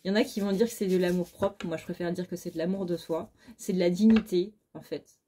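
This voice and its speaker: Femme tireuse de cartes, voix douce et fatiguée. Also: female voice, lowish voice, steady tone, fast talker, warm tone, a little hiss or hum. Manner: informal, blunt, with mild swearing. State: very tired, short of sleep. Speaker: tarot card reader. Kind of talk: casual, chatty tarot reading.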